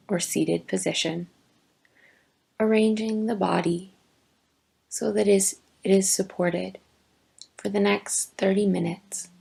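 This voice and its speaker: A woman teaching yoga nidra, giving gentle, deliberate guided meditation instructions with long pauses. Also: medium pitch, gravelly, breathy edge. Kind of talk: slow guided meditation narration.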